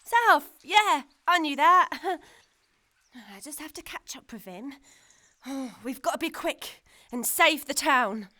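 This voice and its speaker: A woman's voice: sits high, animated, takes it slowly, bright, forceful tone, full character voice. A woman playing an anxious but determined young girl, hesitant and earnest, in a family audio drama.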